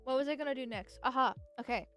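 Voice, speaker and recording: Brief: woman streamer, nasal and high-pitched, rambling, giggly, faint music behind. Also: fast, fairly bright tone. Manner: rambling, giggly, with frequent filler words. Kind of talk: casual livestream chat.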